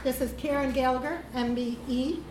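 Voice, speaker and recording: A woman chairs a live panel, introducing each speaker in a loose, informal way, her voice pitched a little high. The hall is echoey, with noise in the background. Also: fairly even intonation, moderate pace, crowd noise. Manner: informal, with fillers. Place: event hall with live audience.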